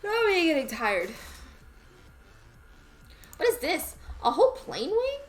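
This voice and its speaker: A woman's voice: extremely high pitch, wildly swooping pitch, measured pace, faint music behind. A woman with a Southern US accent, chatting casually to herself as she streams a game. She rambles and is easily amused.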